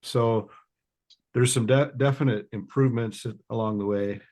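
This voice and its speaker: A man co-hosting a casual podcast, talking in a relaxed way and laughing at his own jokes. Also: mid-range voice, normal speed. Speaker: podcast co-host.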